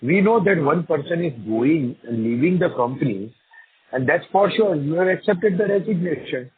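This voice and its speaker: A man's voice: fairly high pitch, animated, moderate pace, husky, dry recording. Insistent Indian man on a tinny phone. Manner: insistent, blunt. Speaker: individual investor caller. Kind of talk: spontaneous questioning on a call. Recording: tinny phone line with faint background noise.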